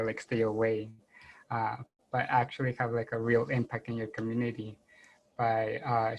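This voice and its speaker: Young man, grad student panelist, hesitant, monotone, faint background noise. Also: mid-range voice, rough recording. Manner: hesitant, filler-heavy with many "like"s. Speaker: biostatistics PhD student panelist. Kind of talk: panel talk, personal story.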